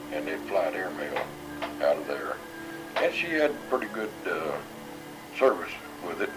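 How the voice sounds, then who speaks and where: An elderly man, a war veteran, recalling his service in a plain, halting, matter-of-fact way. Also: low-pitched, low-quality recording. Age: 80s or older. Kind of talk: oral history interview recollection.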